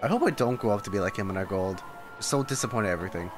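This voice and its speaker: Young guy streamer reacting to a game, chatty, animated voice, faint music in the back. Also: fairly low pitch, very fast. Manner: reactive, repetitive exclamations and musing. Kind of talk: casual live game commentary.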